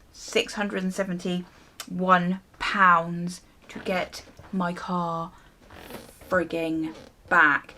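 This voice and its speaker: A woman's voice: mid-range voice, a bit bright. A British woman vlogger chatting casually through a story, exasperated and sweary, acting out both sides of conversations. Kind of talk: casual chatty storytime while getting ready.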